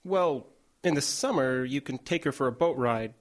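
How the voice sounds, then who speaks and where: A man's voice: mid-range voice, moderate pace, lightly acted. A man playing a practical, laid-back station technician in an audio drama, matter-of-fact and dry. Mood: wry, advising.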